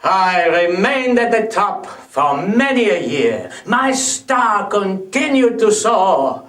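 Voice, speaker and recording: Proud Frenchman, music-hall monologue, high swooping voice, dramatic deliberate delivery, French accent. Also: very animated, a bit bright, exaggerated voice. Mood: wistful, mournful. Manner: theatrical, deliberate, dramatic storytelling. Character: proud theatrical Frenchman, belle epoque music-hall performer reminiscing. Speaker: monologue performer. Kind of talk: rhyming comic stage monologue. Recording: echoey room, distant mic.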